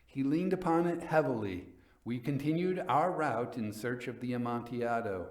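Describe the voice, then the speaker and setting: A man reading literary fiction as a dramatic storyteller, in a measured, theatrical manner. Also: medium pitch, darkish tone, roomy sound.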